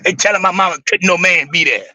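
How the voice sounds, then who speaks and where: A man with a raspy voice who talks intensely and with emphasis, swearing freely in a casual livestream chat. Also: high voice, fast talker, bright, forceful tone, no room sound. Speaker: guest on a live stream.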